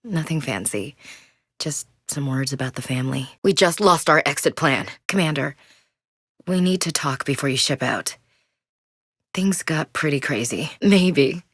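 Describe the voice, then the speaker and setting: A frank, steady woman soldier for a sci-fi video game. Her voice sits low and she speaks in plain, dry military terms. The studio recording is close and dead dry. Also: unhurried, slightly rough, no room sound, heavily acted. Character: tough female human soldier, blunt and loyal. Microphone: close studio mic.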